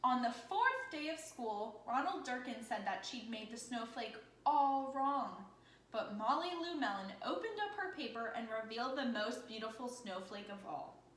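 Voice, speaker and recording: A woman reading a children's story aloud as a teacher, in an expressive, encouraging manner with questions for the listener. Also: on the high side, moderate pace. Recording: echoey room with faint noise.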